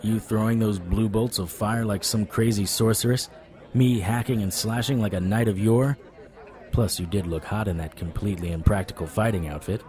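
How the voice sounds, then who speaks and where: Low, mellow wisecracking private eye played by a man. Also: steady tone, normal speed, some chatter behind, close to an everyday voice. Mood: persuasive. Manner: wry, flirtatious, persuasive. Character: wisecracking, stubborn male supernatural private investigator. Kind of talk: audio drama acting with noir internal monologue.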